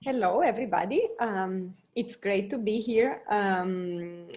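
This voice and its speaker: A woman's voice: mid-range voice, slow, a little mellow. Italian-accented woman, earnest and searching. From Italy. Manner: earnest, searching, many fillers and restarts. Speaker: scientist panelist. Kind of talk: career talk on a panel.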